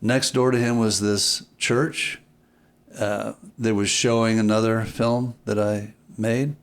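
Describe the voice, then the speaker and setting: A man with a lowish voice tells stories as a guest in an interview. He is reflective and deliberate. He halts, restarts and repeats key phrases for emphasis. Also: flat delivery. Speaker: podcast guest, filmmaker.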